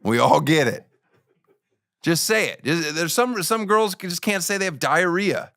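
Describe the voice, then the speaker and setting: A man's voice: fairly high pitch, animated, measured pace, sharp tone, no room sound, clean studio sound. A man hosting a podcast, talking in a blunt, teasing, joking way. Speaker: podcast host. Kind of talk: comedic podcast banter.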